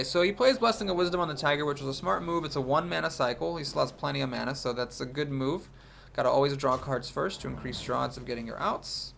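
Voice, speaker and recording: Congested man with a gravelly voice. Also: fairly high pitch, a bit bright, a little hiss or hum. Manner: analytical, thinks aloud about plays. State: congested from a cold. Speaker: game streamer. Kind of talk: card game commentary.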